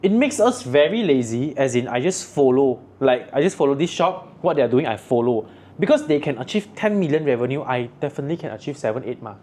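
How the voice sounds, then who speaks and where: A man's voice: mid-range voice, expressive, fairly quick, darkish tone. A man from Malaysia with a Malaysian English accent, chatting casually and giving frank business advice, laughing often. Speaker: podcast host. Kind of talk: casual chat.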